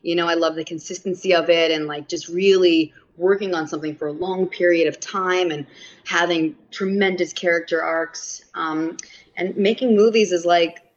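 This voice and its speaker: A woman's voice: lowish voice, steady tone, normal speed. A woman in her thirties with a heavy New York accent, chatting as an interview guest, often laughing and using fillers. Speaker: actress guest. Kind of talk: casual interview chat. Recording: muffled lo-fi audio.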